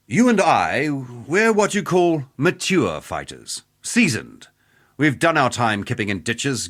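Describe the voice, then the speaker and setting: A man's voice: mid-range voice, fairly bright tone. A man playing a cocky, jovial middle-aged mercenary weapon master in a video game, with wry, boastful, roguish banter. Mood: persuasive. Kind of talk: scripted game character dialogue.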